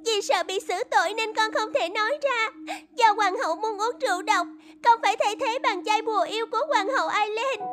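A woman voicing a frightened, loyal young maidservant in an animated fairy tale, tearful and pleading. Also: very high-pitched, brisk, faint music behind.

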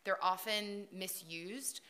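A woman's voice: mid-range voice, slow pace, sharp tone. A woman in her forties moderating a conference panel, upbeat and conversational. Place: conference hall stage. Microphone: table microphone.

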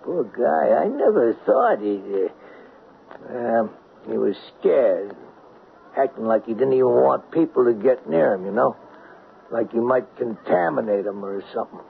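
An actor playing a timid, down-and-out man eager to help, halting and folksy, in a radio drama. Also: mid-range voice, expressive, vocal fry. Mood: meek, sympathetic. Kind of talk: 1970s radio drama acting. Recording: dull lo-fi 1970s radio broadcast, hissy.

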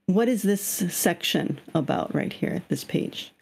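A woman's voice: lowish voice, animated, measured pace, gravelly, a little mellow, husky. A woman leading an online reading group on a video call. Her voice is slightly scratchy, and she explains patiently and keeps asking the group questions. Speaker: study group leader.

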